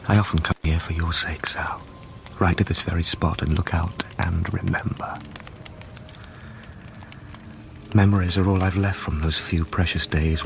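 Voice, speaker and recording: A low-voiced, grieving man speaks intimately to his lost love in a radio play. He is wistful and brooding, and loud music plays behind him.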